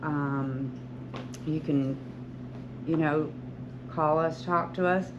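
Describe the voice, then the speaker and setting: A woman in her sixties speaks warmly to a group of students as a veteran hairstylist. She is earnest and encouraging and rambles with frequent restarts. The recording is dull and lo-fi, with clear background noise behind her. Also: sits low, steady tone, extremely slow. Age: 60s. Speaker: hairstylist and salon owner. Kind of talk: talk to beauty school students.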